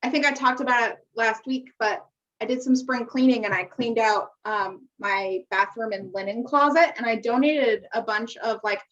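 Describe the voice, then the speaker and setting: Young American female podcast co-host, higher pitch, informal and encouraging, lo-fi distant sound. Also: moderate pace, a bit bright. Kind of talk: casual chat. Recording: muffled, distant-sounding microphone.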